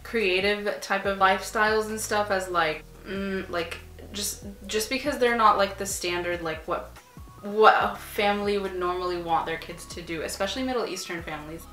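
A woman's voice: medium pitch, normal speed, roomy sound, faint music behind. Chatty young woman, filler-heavy. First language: Arabic. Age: 20s. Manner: chatty, many "like" fillers, relaxed. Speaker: artist and vlogger. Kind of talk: casual Q&A chat while painting. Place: small home room, sitting on a couch. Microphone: camera a few feet away.

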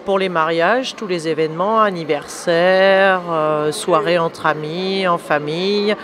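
A woman from catering staff, calmly and plainly listing services into a handheld mic at a fair. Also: fairly low pitch, measured pace, crowd noise. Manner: matter-of-fact listing of services. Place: indoor exhibition hall.

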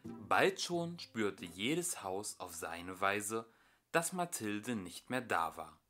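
A young man narrating a children's story as an amateur audiobook reader, with expressive delivery. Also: lowish voice, slow, soft background music. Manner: expressive storytelling with acted voices.

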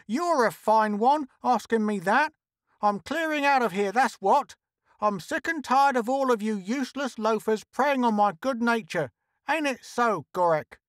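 A brash, tough woman of a mining camp acted out by a man in a pulp science fiction story. The voice is pushed high and loud. She scolds indignantly in rough, unschooled grammar. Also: measured pace, no echo, studio quality. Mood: indignant, scolding.

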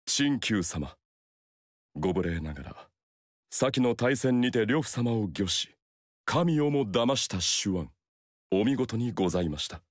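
An actor playing a loyal young male retainer in a game, formal and courteous, with quiet irony. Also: fairly low pitch, big pitch swings, a little slow, dry recording, clean studio sound. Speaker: game character voice. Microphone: dry studio mic.

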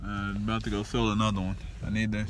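American man bantering over loud background noise. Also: fairly low pitch, completely flat delivery, fast. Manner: joking, teasing haggler. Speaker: vlogger and car dealer picking up auction cars. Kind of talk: casual banter and haggling, talking to camera. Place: outdoors in a car lot, later inside a car. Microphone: phone at arm's length. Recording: noisy handheld phone recording with wind and vehicles.

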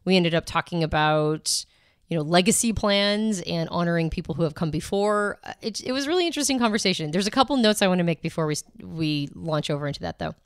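A woman with a lower voice co-hosts a podcast, talkative and reflective in a laid-back way. She sounds tired, her voice a little worn. The recording is dry and close. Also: animated, fast talker, clear, resonant tone, no echo. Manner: chatty, reflective, chill. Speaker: podcast co-host. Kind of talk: casual podcast chat.

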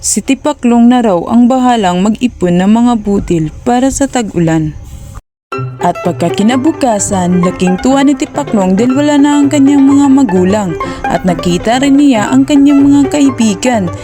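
A woman's voice: fairly high pitch, moderate pace. A woman narrating a scripted children's fable as a storyteller. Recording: loud music behind the voice. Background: music.

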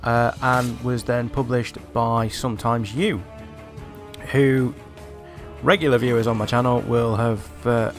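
A man's voice: mid-range voice, steady tone, unhurried. A man hosting a gaming video with casual, unscripted commentary, thinking aloud as he plays. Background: game music.

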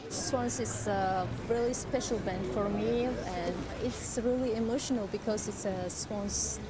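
A woman, a concert-goer speaking in a slow, heartfelt way about a band. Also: medium pitch, over a noisy crowd. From Georgia (country). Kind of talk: vox pop interview.

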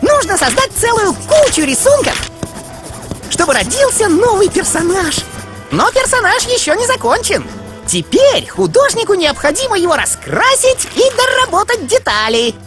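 A small, cheerful cartoon rabbit boy narrates for children. The voice is extremely high and hugely animated, leaping about with theatrical expression. Prominent music plays behind it. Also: moderate pace, full character voice. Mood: cheerful, explaining.

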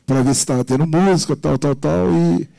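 A man, a bar owner recounting his troubles haltingly at a public meeting on a handheld mic. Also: on the high side, creaky, dark tone, no echo. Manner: aggrieved, halting storytelling. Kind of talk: public testimony. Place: council meeting chamber.

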